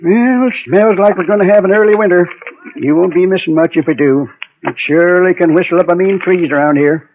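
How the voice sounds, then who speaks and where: An American actor playing a folksy, chatty but firm frontier gunsmith in a Western radio drama. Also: male voice, high voice, brisk. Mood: easygoing. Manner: folksy, drawling frontier phrasing. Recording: dull vintage radio recording, hiss.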